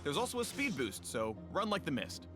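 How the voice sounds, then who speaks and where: A man's voice: a little high, big pitch swings, fairly quick. A man narrating a game spotlight in a scripted promotional style. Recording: music bed behind narration.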